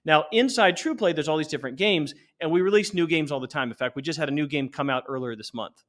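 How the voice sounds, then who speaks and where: A man in his forties with a slightly high voice, talking as a guest in an interview. He explains things earnestly and conversationally, often doubling back to restart a sentence. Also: quick pace, a bit bright. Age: 40s. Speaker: interview guest, company founder.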